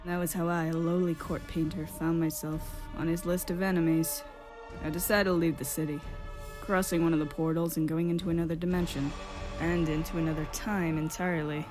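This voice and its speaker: Casting: melancholy exiled painter played by a woman, low measured voice, wistful storyteller, instrumental music underneath. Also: level tone, natural voice. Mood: wistful storytelling. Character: exiled male court painter, centuries old, melancholy and wistful. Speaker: voice actor in a fan dub. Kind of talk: dramatic storytelling monologue. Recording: music behind the voice.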